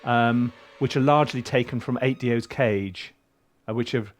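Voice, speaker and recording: A man's voice: medium pitch, moderate pace. A middle-aged man, a composer walking through his music session in a casual, rambling way with many ums and restarts. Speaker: composer teaching film scoring. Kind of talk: casual screen-share walkthrough. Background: music from his session playing faintly.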